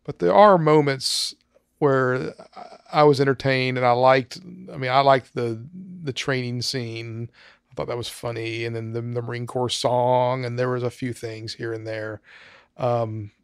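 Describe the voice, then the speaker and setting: A man's voice: mid-range voice, flat delivery, a little slow, clean tone, dry recording, studio quality. A man on a film podcast panel, giving his ratings in casual chat with lots of ums.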